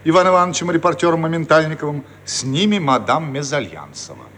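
Animated male radio play narrator. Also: a little high, quick pace, a crowd in the background. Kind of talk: scripted narration of stage directions. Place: studio radio production.

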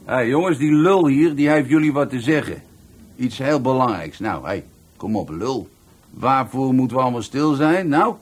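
Actor as a taunting bully of a party host, swooping voice. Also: male voice, mid-range voice, animated, normal speed, a little hiss or hum, natural voice. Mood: loud bullying. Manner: mocking, taunting, theatrical. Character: sneering, bullying drunk party host who humiliates his guests. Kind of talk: radio drama acting. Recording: music playing behind.

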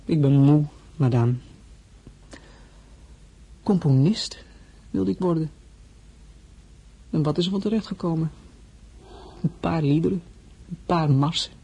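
A weary young crown prince. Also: male voice, on the high side, unhurried, vocal fry, long pauses, mellow, husky, dull audio, heavily acted. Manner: formal, earnest, rising to heated complaint. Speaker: actor in a radio play. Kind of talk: radio play acting.

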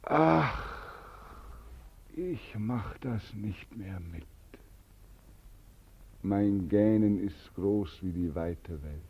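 An actor playing a bitter, exhausted, despairing young soldier home from war in a 1940s German radio drama, with a weary, brooding delivery. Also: male voice, lowish voice, expressive, drawn-out words, long pauses, husky, heavily acted. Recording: muffled 1940s radio recording, faint music.

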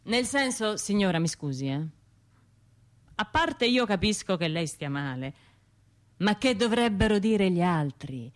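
A woman's voice: mid-range voice, very animated. A woman voicing a blunt, reproving male heckler. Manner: measured, pointed, condescending. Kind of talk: radio drama monologue.

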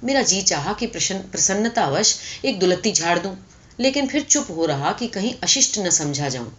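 A woman narrating a literary short story from a script in an expressive storytelling style. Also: on the low side. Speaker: audiobook narrator.

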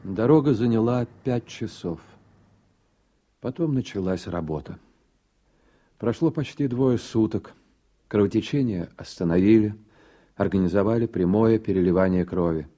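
A man narrates a radio drama as a literary story. His voice is very mellow and husky, pitched fairly low. The delivery is measured.

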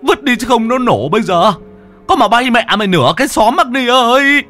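A man voicing an alarmed villager who shouts an urgent warning. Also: very high-pitched, animated, brisk, soft background music, pitched above the performer's own voice, exaggerated voice. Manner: urgent, loud calling.

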